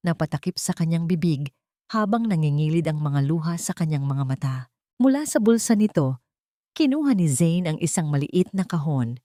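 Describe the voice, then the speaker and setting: Mellow, low-voiced woman narrating a story. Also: dry recording, clean studio sound. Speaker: audiobook narrator. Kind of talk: scripted dramatic story narration.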